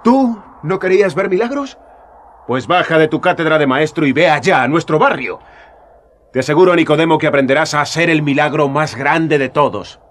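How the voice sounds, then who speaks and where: An actor playing a young, earnest Jesus in a radio drama, talking with passionate urgency. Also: male voice, on the high side, moderate pace, fairly bright tone, lightly acted. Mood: hopeful. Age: young adult.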